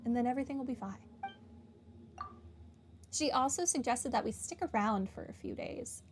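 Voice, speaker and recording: High-voiced woman streaming a game. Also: normal speed, slight background noise. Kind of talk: casual playthrough commentary. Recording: voice over clear game music. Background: game music.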